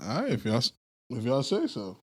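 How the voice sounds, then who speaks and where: A man hosting a loose podcast chat at an average pitch, pushing back and cursing freely. He argues his corner and keeps the talk moving. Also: huge pitch swings, brisk, darkish tone, husky. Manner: argumentative, swearing, laughs often. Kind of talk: casual debate chat.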